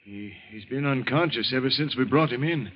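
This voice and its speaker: A man's voice: medium pitch, fairly quick, natural voice. An American actor playing a folksy, worried, helpful country man in an old-time radio drama, plain-spoken. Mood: subdued. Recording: muffled, noisy vintage radio recording.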